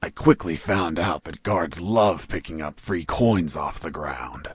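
An actor playing a wry, unflappable escaped prisoner telling his story in a video game, with deadpan delivery. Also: low-pitched, measured pace. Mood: deadpan recounting. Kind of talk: video game narration and dialogue.

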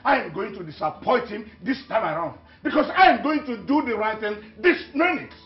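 A man playing an angry, stubborn traditional king who shouts orders. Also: high-pitched, expressive, a little fast, soft background music. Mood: furious. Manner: shouting, commanding. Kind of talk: film drama acting. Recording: dull lo-fi audio in a roomy hall.

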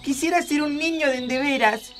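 A man's voice: very high voice, moderate pace, fairly bright tone, soft background music. A man voicing a sad, whiny wooden puppet boy, plaintive and whimpering, in a comic radio play. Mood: miserable.

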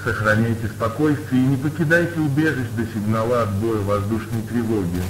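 A man's voice: mid-range voice, brisk, natural voice. A man playing a stern civil defense announcer reading a formal emergency warning. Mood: grave, urgent. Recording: echoey, noisy, humming old broadcast.

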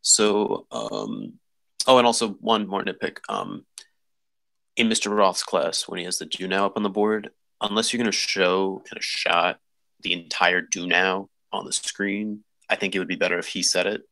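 A man giving informal script notes in a halting, stop-start way, often correcting himself. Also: deep voice, gravelly, bright, forceful tone, rough recording. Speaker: table read participant giving script feedback. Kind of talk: informal spoken critique. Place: remote online voice call.

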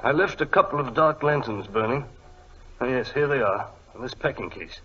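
An actor playing a fussy, courteous English gentleman archaeologist with a husky voice and British accent in a 1940s radio drama. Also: medium pitch, lively intonation, normal speed, noisy background, close to an everyday voice. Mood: earnest. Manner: hesitant, apologetic, polite. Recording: muffled old radio recording.

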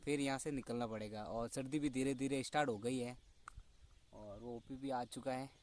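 A man's voice: medium pitch, steady tone, normal speed, a little mellow. Chatty young man vlogging outdoors. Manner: chatty, laughs often, addresses viewers directly. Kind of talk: casual outdoor vlog narration. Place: outdoors in dry scrubland.

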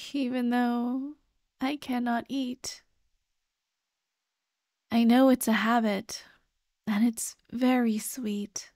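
A high, very dry and close voice of a woman acting as a dead girlfriend's ghost in an ASMR roleplay. She speaks slowly and tenderly, full of grief, gently pleading and comforting the one she left behind. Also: no echo. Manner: slow, tender, sighing, pleading.

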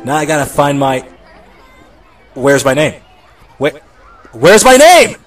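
A young man voicing a bitter, foul-mouthed high school boy who rants sarcastically in an anime parody dub. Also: big pitch swings, takes it slowly, a faint crowd, full character voice. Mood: flustered. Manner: ranting, sarcastic. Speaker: narrator and lead character. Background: background music.

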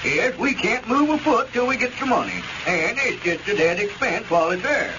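An actor playing a glib, scheming country squire with a rural Southern accent in old radio comedy. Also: male voice, sits high, very animated, normal speed. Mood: persuasive. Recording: muffled, noisy old radio recording.

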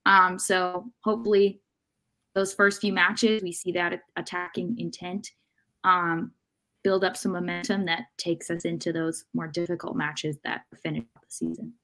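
A young woman with a fairly low voice talks sports on a video call from home. She sounds measured and halting as she works through her analysis in a casual panel discussion. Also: fairly even intonation, a bit bright, low-quality recording. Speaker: soccer podcast panelist. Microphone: video call microphone.

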